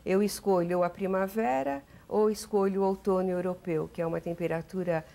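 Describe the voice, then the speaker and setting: Middle-aged Paulistana artist, talkative guest on a TV interview. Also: female voice, on the low side, fairly even intonation, fairly quick, creaky, dark tone. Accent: Paulistano Brazilian Portuguese. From São Paulo, Brazil. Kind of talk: relaxed interview answers.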